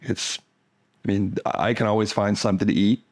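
A man's voice: on the low side, level tone, normal speed. A man chatting casually as co-reviewer, laid-back and hesitant, often repeating words as he starts. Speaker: vlogger's husband, co-reviewer. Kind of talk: casual chat.

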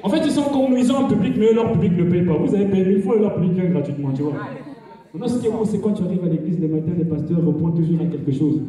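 A male stand-up comedian performing on a theater stage, speaking in an animated way and joking in broken English. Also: high-pitched, rapid-fire. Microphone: handheld mic, filmed from the audience. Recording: echoey hall from far off, audience.

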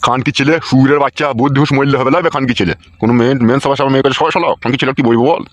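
A man plays an irritable cartoon father who just wants to sleep. He scolds and curses at a very fast clip in a medium-pitched voice. The delivery is crude comic dubbing. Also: fairly even intonation, natural voice. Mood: irritated scolding. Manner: abusive, cursing, irritable. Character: foul-mouthed grumpy sleepy father scolding his son.

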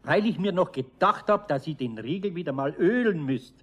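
An older actor playing a kindly, firm master carpenter in a 1970s radio play. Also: male voice, fairly high pitch, lively intonation, normal speed. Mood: fatherly. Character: older kindly master carpenter, gruff but good-natured.

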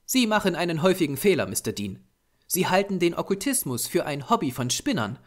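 A man's voice: fairly high pitch, sing-song, fairly quick, voice pushed up. A man voicing a small, grey-haired Japanese doctor, a patient, earnest occultist lecturing formally in an audiobook. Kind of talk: audiobook character voice.

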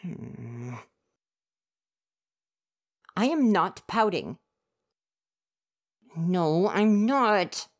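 A woman plays a prickly, soft-hearted young would-be ninja in an ASMR roleplay, with a low voice for her range. He snaps back defensively and gets flustered, yet talks earnestly and gently about his hopes. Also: sing-song, extremely slow, long pauses, exaggerated voice.

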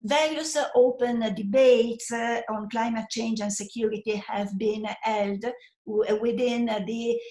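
An Italian-accented woman in her sixties gives a formal academic lecture, partly reading from notes, in a halting, hesitant way. She is speaking into a computer microphone on a video call. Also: a little high, fairly even intonation, darkish tone. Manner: halting, hesitant delivery with frequent filled pauses. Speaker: professor giving a lecture. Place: small indoor room at home or office. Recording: roomy, echoey webcam sound.

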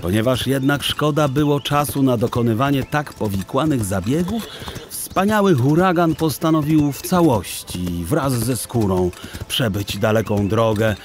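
A man narrating a children's audiobook in an expressive, theatrical storytelling style with deliberate phrasing. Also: medium pitch.

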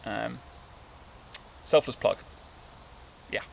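A man in his thirties chats to the camera from a camp under a tarp, with a gravelly edge to his mid-pitched voice. He talks in a relaxed, meandering way, full of ums and restarts. Faint outdoor hiss sits behind him. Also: extremely slow. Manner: rambling, relaxed, many fillers and restarts. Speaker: bushcraft vlogger. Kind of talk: casual talk to camera. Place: outdoors in winter woodland, seated under a tarp. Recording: light outdoor background noise.

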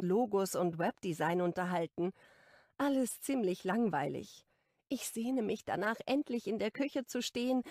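A woman's voice: medium pitch, darkish tone, no room sound. Woman, audiobook narrator, first-person romance, intimate and playful, close mic, dry sound.

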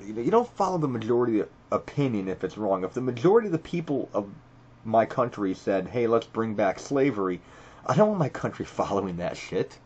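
A man's voice: lowish voice, sing-song, a little fast, darkish tone, husky, highs cut off. Blunt, profane man thinking aloud. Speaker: video creator. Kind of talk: reading quiz questions aloud and reacting. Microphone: screen recording with voice-over.

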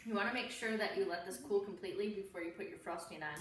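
A woman's voice: mid-range voice, fast talker. Echoey, distant woman giving cooking instructions. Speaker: home cook hosting a cooking show. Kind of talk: casual cooking demonstration, step-by-step instruction. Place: home kitchen, indoors, dish clatter behind. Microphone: camera a few steps away. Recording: roomy, distant camera mic with clear noise.

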